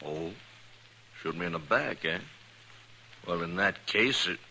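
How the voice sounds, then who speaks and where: An actor playing a defensive, threatening western tough in a 1950s radio drama, curt and folksy. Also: male voice, low-pitched, animated, measured pace, vocal fry, exaggerated voice. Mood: evasive. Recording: bright, faintly noisy old radio recording.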